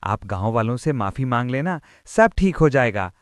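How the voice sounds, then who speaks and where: A man voicing a clever, kind young village boy in a cartoon moral story, speaking in an earnest, gently admonishing way. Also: medium pitch, sing-song, normal speed, no echo, studio quality, natural voice. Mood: earnest, reassuring.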